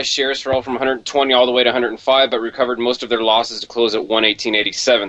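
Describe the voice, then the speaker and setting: A man's voice: medium pitch, pushed and bright. A man reading a financial earnings report aloud over dull call audio.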